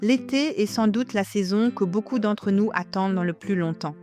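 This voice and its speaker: An older woman from Germany, a native German speaker, reads scripted podcast narration as host, in a measured, reflective manner, addressing the listener directly. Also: medium pitch, a little mellow. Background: music.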